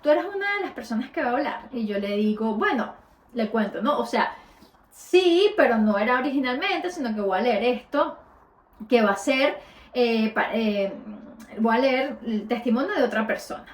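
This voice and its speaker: Host latinoamericana, voz más bien aguda, narración personal con titubeos. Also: female voice, fairly high pitch, expressive, normal speed, echoey room. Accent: Latin American Spanish. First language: Spanish. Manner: conversational, with frequent hesitations. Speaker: podcast host. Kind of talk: personal storytelling.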